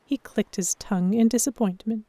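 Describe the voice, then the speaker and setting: A woman playing a stubborn, flustered young noblewoman, narrating her own story in a measured, expressive audio drama style. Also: fairly high pitch, dry recording, lightly acted. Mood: tense, wistful narration.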